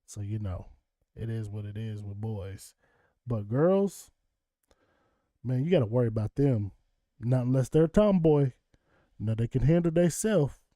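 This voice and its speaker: Dark-voiced Southern man chatting. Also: mid-range voice, dry recording, clean studio sound. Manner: rambling, chuckles often. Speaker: vlogger. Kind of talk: casual monologue.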